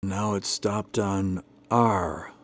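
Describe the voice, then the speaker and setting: A man's voice: deep voice, fairly even intonation, extremely slow, no room sound, full character voice. A man playing a skeptical, hesitant and politely stiff husband in a radio drama. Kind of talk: old-time radio drama acting.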